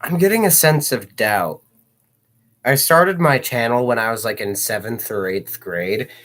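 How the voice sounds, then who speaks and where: A man's voice: mid-range voice, expressive, unhurried, a bit bright. A teenage boy chatting on a livestream, rambling and self-mocking with jokey asides. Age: teens.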